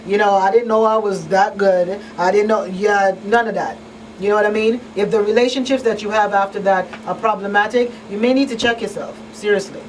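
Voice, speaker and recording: A woman's voice: medium pitch, fairly even intonation, fairly quick. Distant-miked, emphatic woman on talk radio. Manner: emphatic, repeats points for weight. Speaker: radio talk show host and crisis counselor. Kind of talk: informal panel discussion with counselling advice. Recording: distant microphone, noisy room ambience.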